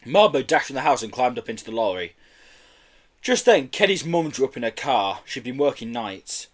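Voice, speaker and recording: A man narrating a children's book as an audiobook reader, in an expressive storytelling style. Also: mid-range voice, normal speed.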